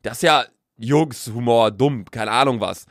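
A man's voice: medium pitch, a little slow, a bit bright, dry recording. Slangy young man, dry close sound. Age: young adult. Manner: laughs a lot, slangy, rambling with restarts. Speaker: podcast co-host. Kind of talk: casual banter.

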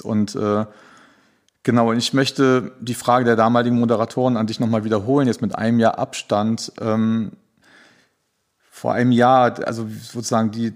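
A man's voice: mid-range voice, darkish tone. A man hosting a podcast interview, introducing guests with many hesitant fillers. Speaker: podcast host.

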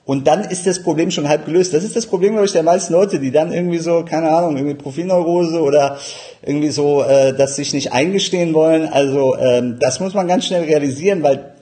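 A man's voice: a little high, brisk, warm tone, breathy edge. A man in his forties, born in Iran, talking as a guest in a casual interview about running his café, with many fillers and easy laughs. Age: 40s.

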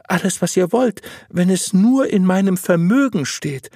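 A pious, naive young wife from an old tale, acted by a male storyteller. The voice is pitched up high and swings expressively. It pleads earnestly in formal, old-fashioned phrases. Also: lively intonation, measured pace, warm tone, no room sound, clean studio sound, heavily acted. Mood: meek, compliant. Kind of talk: audiobook storytelling.

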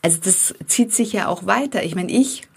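A woman with a slight foreign accent giving a calm, earnest self-help talk, speaking directly to her listeners. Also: medium pitch, moderate pace, gravelly, slightly rough. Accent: non-native accent. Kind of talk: motivational monologue to viewers.

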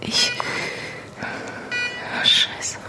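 A woman playing a distraught, guilt-ridden young undercover policewoman in an audio drama, halting and stammering with a breathy, unsteady voice. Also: noisy background. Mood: shocked, panicked. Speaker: actor in a fiction podcast. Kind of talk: naturalistic German audio drama.

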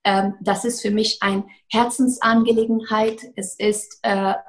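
A woman's voice: mid-range voice, level tone, unhurried. A woman, a defense lawyer giving earnest, hesitant interview answers full of fillers. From Afghanistan.

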